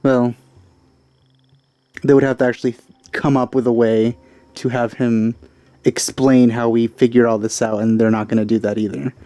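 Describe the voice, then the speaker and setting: A man's voice: mid-range voice, level tone, normal speed, pauses often, dark tone, no echo. A man giving casual, offhand commentary as he plays a video game, with a wry manner. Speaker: gamer, let's play host.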